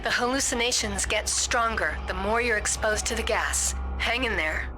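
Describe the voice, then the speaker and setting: An actress playing a brisk, encouraging guide who gives direct mission instructions in a video game. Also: female voice, mid-range voice, close to an everyday voice, low-quality recording. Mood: encouraging, urgent. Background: music.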